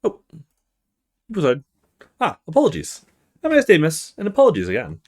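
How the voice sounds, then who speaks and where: A man's voice: on the high side, huge pitch swings, brisk, a little mellow, pitched above the performer's own voice. A man voicing a polite young male student in a visual novel, thinking to himself in casual short fragments. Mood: apologetic. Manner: casual inner monologue with short musing fragments.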